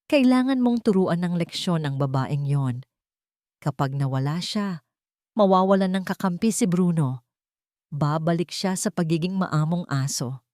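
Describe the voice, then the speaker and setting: Soft, scheming wife, voiced by a woman narrator. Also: on the low side, animated, unhurried, no room sound, clean studio sound. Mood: goading. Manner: soft, coaxing, insinuating. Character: cunning, manipulative wife feigning tender concern. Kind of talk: dramatic audio story dialogue.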